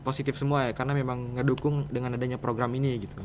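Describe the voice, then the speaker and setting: A man's voice: mid-range voice, level tone, racing, darkish tone, slight background noise. A teenage male student with a Jakarta Indonesian accent, answering casually and a little hesitantly as a podcast guest. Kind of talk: casual interview chat.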